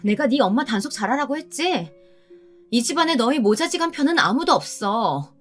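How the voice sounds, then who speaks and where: Woman acting a furious high-pitched older sister. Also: very animated, normal speed, faint music behind, full character voice. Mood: angry, threatening. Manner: sharp, scolding, emphatic. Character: furious, threatening adult older sister guarding family inheritance.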